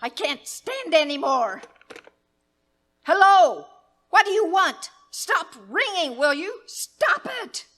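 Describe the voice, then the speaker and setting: A desperate, self-pitying wife in a live 1930s-style radio thriller. The voice sits extremely high and moves slowly, full of agitated pleading and melodrama. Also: female voice, very animated, a bit bright, exaggerated voice. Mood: hysterical, accusing. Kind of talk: 1930s radio drama acting, performed live on stage.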